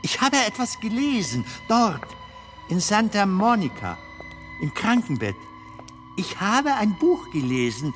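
Soft-hearted childlike old comedian, slow halting talk, very lively pitch, radio play with music under it. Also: male voice, exaggerated voice. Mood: wondering, tender. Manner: halting, tender, questioning. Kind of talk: German radio drama acting.

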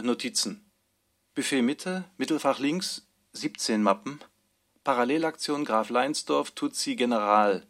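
A man's voice: medium pitch, fairly bright tone. A man reading a scripted archive inventory in clipped, list-like phrases for a radio production.

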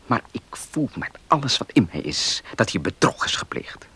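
Deep, very husky determined fiancé. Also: male voice, big pitch swings, normal speed, bright, forceful tone, in a whisper, full character voice. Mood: earnest, determined. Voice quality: husky, breathy. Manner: earnest, insistent. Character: determined, suspicious young fiancé out for justice. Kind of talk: Dutch radio play acting.

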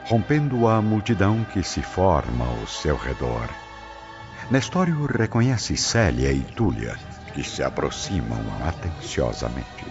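Very deep-voiced solemn male narrator. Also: very animated. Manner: solemn, measured. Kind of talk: dramatic scripted narration. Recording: slightly muffled with loud background music.

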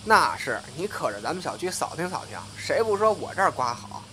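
A man's voice: fairly high pitch, sing-song, brisk, bright, forceful tone, a little hiss or hum. A man playing an easygoing, cheeky young watermelon vendor in a Chinese audio drama, with a folksy, forthright manner. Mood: friendly, proud.

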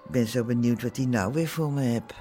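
A woman's voice: rumbling low, fairly quick, mellow. A long-married café landlady in a radio play. She is middle-aged, chatty and forgiving, with a wistful way of talking. Light music plays behind her. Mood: wistful, dreamy. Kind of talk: naturalistic radio drama acting.

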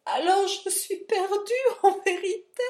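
A woman's voice: very high voice, measured pace, darkish tone, voice pushed up. A woman reading aloud and voicing a frightened young man who pleads and sobs in despair. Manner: sobbing, pleading.

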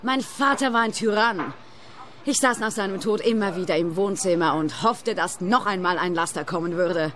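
A woman's voice: lowish voice, normal speed, fairly bright tone, lightly acted. An actress playing an eccentric young female trucker in a radio play, dreamy and oddly matter-of-fact. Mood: wistful, cheerfully morbid. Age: young adult.

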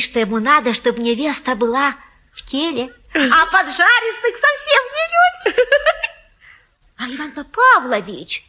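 A garrulous old matchmaker woman in a theatrical comedy. Her voice is high and sharp, with big melodic swings. She coaxes and boasts in a folksy, effusive way. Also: exaggerated voice. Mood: gossipy boasting. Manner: folksy, garrulous, effusive, sing-song coaxing. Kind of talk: theatrical stage-comedy acting of a classic play.

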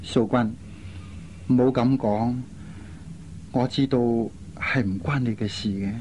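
A man's voice: mid-range voice, fairly even intonation, slow, a little mellow, lightly acted. Actor as shy young Cantonese man, faint noise. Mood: polite, subdued. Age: young adult. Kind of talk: radio drama acting.

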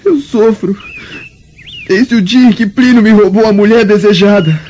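A man's voice: high-pitched, normal speed, warm tone, close to an everyday voice. An actor with a Brazilian accent playing an anguished young Roman nobleman in a radio drama, with solemn, sorrowful, formal delivery. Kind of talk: melodramatic radio drama acting. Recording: dull lo-fi radio drama, background noise.

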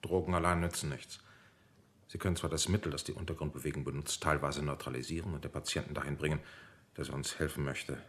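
An actor playing a military doctor in a radio drama, explaining things in a measured, cautious, technical manner. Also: male voice, a bass voice, fairly even intonation, fairly bright tone. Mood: clinical, cautious. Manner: measured, explanatory, with hesitant pauses. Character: male army doctor, clinical, cautious, coolly technical interrogation specialist.

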